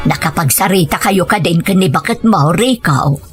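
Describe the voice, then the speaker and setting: A woman's voice: fairly low pitch, expressive, moderate pace, close to an everyday voice. A woman playing a supportive older mother of a grown son in an audio drama, reassuring and practical as she advises. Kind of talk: scripted audio drama dialogue. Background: faint music.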